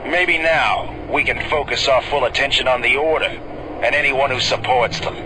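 A man playing a steady, commanding space officer. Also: natural voice. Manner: brisk and matter-of-fact. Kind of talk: video game voice acting. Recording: game music and engine rumble behind.

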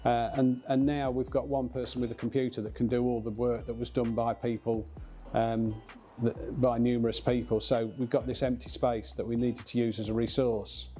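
A man's voice: medium pitch, flat delivery, moderate pace, few pauses, warm tone, faint music behind. A man in his fifties who works at a brewery, talking in a documentary interview indoors. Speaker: brewery owner or worker. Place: brick-walled room indoors.

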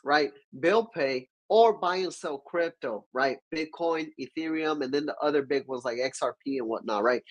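Young male livestream host, nasal from a cold, upbeat and chatty, slightly higher voice. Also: low-quality recording. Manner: energetic, gestures with both hands, keeps asking viewers to comment. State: stuffy from a cold. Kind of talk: business livestream teaching. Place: small dark-walled home studio.

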